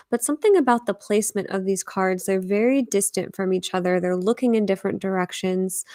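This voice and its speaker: Woman reading tarot live, chatty, lots of um and like, fry in her voice, dry close sound. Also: medium pitch, darkish tone, no echo. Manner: chatty, thinks aloud with fillers, whispers at times. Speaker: tarot reader.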